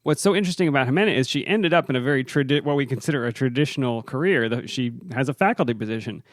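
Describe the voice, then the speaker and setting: A man's voice: medium pitch, expressive, very fast, no room sound. A man co-hosting a podcast, speaking earnestly and measuredly with some "uh" fillers. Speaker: podcast co-host. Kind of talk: casual podcast chat.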